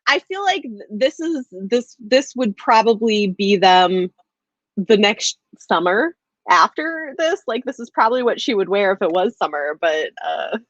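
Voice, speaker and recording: A woman's voice: medium pitch, lively intonation, unhurried, dry recording, highs cut off. A woman in a casual, informal book chat, speaking in an agreeable, hedging way as host. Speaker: host of a book discussion livestream.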